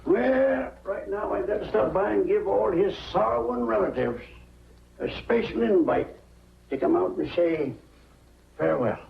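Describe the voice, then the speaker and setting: Casting: wry small-town errand fellow, young, folksy and creaky-voiced, on a dull old film soundtrack. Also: male voice, high-pitched, animated, unhurried, exaggerated voice. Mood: wry, casual. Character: breezy, irreverent small-town errand man, cheerfully announcing a death. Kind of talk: 1940s film acting.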